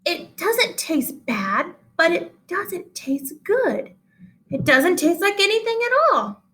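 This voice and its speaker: A woman's voice: very high voice, sing-song, takes it slowly, a bit bright, voice pushed up, full character voice. A woman reading a storybook as a sweet, picky, puzzled schoolgirl who complains plaintively. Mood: puzzled, let down.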